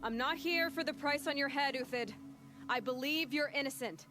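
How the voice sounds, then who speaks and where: A woman's voice: high voice, normal speed, over music, lightly acted. An actress playing a young female hunter in a game, direct and terse. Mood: determined. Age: young adult. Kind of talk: video game cutscene acting.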